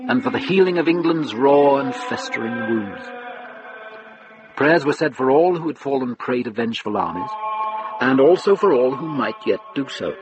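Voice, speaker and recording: A man narrating a radio drama in a scripted, literary style, with measured storytelling phrasing. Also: mid-range voice, lightly acted, rough recording.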